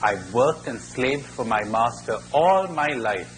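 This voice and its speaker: A man's voice: medium pitch, lively intonation, slow pace, fairly bright tone, a little hiss or hum. A man voicing an old, worn-out donkey in a spoken fable, bitterly complaining and resigned.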